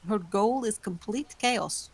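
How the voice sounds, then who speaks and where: A woman's voice: medium pitch, slow pace, breathy edge. A woman voicing a composed ancient vampire. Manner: composed, formal. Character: ancient calm female vampire. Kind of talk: amateur online table read.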